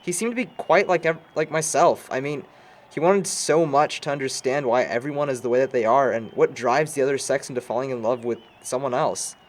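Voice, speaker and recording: A man's voice: mid-range voice, fairly quick, natural voice. A man acting a bookish, dry-witted young man in an amateur audio drama, talking in a formal, wordy way. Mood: reflective. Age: young adult.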